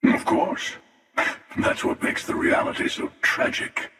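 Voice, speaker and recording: An actor playing a menacing, cynical spacefaring hunter in a video game, with a taunting, coolly superior manner and a processed helmet effect. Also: male voice, measured pace, sharp tone. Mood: cynical, ominous. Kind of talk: video game character dialogue. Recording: heavily reverberant, distant, processed voice.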